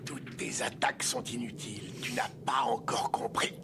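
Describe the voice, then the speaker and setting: A cruel alien tyrant in an anime dub, taunting coldly in a lowish, creaky voice. Clear background noise sits behind the mocking delivery. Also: male voice, fairly even intonation, normal speed. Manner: mocking, menacing. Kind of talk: French anime dub acting. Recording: noisy soundtrack behind the voice.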